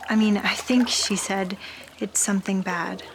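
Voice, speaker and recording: Uneasy, loyal monster-band member, female actor, radio play: hesitant, worried, medium pitch. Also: steady tone, measured pace, noisy background, lightly acted. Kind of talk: radio drama acting.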